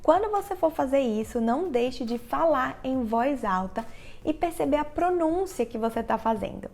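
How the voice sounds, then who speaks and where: A woman with a Brazilian Portuguese accent explaining English listening tips directly to the viewer in a clear, instructional vlog narration. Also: high voice, animated, moderate pace, darkish tone. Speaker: English teacher, channel host. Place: living in the United States.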